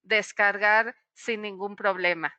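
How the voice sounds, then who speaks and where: A woman's voice: mid-range voice, fairly even intonation, takes it slowly, sharp tone, no echo, dull audio. A middle-aged psychologist woman giving a webinar talk straight to the listener, explaining patiently with frequent "eh" fillers.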